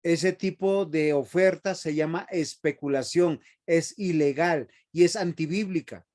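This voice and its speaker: A man's voice: a little high, unhurried, a little mellow. Preachy man lecturing a Bible class. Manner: preachy, asks the class questions. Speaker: Bible seminary teacher.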